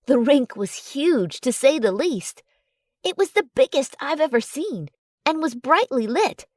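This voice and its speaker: A woman reads a romance story with a highly animated, swooping delivery. Her voice sits fairly high, and the recording is dry and close, with an expressive storyteller's sense of drama. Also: a little slow, dry recording. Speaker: audiobook narrator. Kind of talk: expressive first-person romance story reading.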